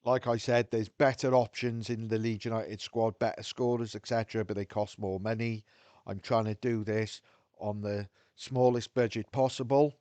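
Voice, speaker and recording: A man's voice: fairly low pitch, fairly even intonation, moderate pace, a little mellow, no room sound. A man with a Welsh accent talking frankly to his viewers, thinking aloud as he goes. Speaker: video host. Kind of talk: casual talk to camera.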